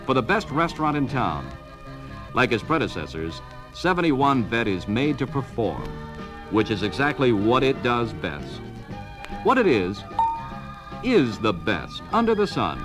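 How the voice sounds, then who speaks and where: An American man narrates a vintage 1970s promotional film with a lively, swinging pitch. He delivers clipped, emphatic sales phrases over clearly audible music, as heard on an old vinyl record. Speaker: narrator of a car dealer training film.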